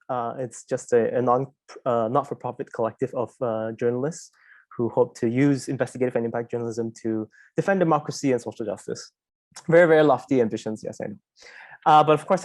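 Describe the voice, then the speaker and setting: A man with a Malaysian accent speaks as a presenter at an online talk. His voice sits at a middle pitch and he talks informally, engaging listeners directly. The sound is dry, with very little room in it. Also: no echo. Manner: conversational, prompts listeners with repeated questions. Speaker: investigative journalist presenting as a webinar speaker. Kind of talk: informal interactive webinar presentation.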